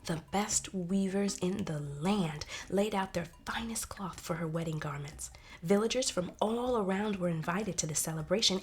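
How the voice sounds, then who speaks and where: A woman narrating a folktale expressively, as in a storybook read-aloud. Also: fairly low pitch. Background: soft music.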